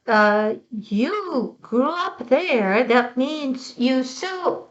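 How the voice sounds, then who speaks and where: A Japanese woman hosting an English-learning talk, asking questions in halting English and summarizing in rapid Japanese. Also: sits high, expressive, dull audio. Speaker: host, English-learning show. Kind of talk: bilingual casual interview.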